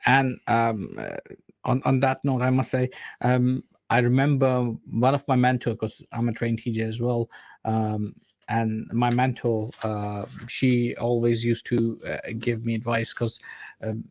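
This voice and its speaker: Fast-talking host with an Urdu accent. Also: male voice, medium pitch, a little mellow, no echo. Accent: Urdu-speaking. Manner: fast, rambling, many fillers and restarts. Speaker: radio show host. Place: radio studio.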